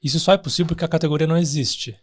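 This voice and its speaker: A man's voice: fairly high pitch, fairly even intonation, rapid-fire. A man from Taiwan who speaks with an Araraquara Brazilian Portuguese accent, a founder chatting casually as a guest and tagging his sentences with "né" amid frequent restarts. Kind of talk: casual interview chat.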